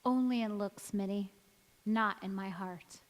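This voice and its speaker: A woman's voice: medium pitch, steady tone, a little slow, close to an everyday voice. An actress playing a wistful, ageless woman returning in secret, in an earnest old-time radio drama style. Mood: wistful, anxious. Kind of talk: 1940s radio drama acting on stage. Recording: echoey hall with faint noise.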